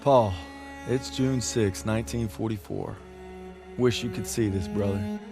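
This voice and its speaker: A man's voice: lowish voice, measured pace, gravelly, over music. A man playing an earnest young American soldier, narrating a folksy, reflective letter home in a video game. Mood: wistful, earnest. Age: young adult.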